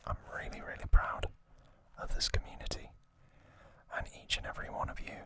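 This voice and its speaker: A man hosting ASMR in a breathy, almost entirely unvoiced whisper, speaking gently and unhurriedly to his viewers. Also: brisk. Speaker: ASMR host.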